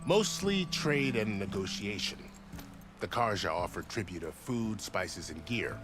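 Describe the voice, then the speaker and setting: An actor playing a genial, wry second-in-command army officer in a video game, courteous and gently teasing. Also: male voice, on the low side, expressive, unhurried. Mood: wry, explanatory. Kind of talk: video game cutscene acting. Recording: music behind the voice.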